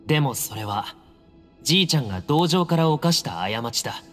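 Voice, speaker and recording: A man's voice: a little high, expressive, normal speed, fairly bright tone. An actor playing a guarded, self-assured young male temple heir in a Japanese anime game, speaking in a cool, firm, composed manner. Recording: reverberant hall, music underneath.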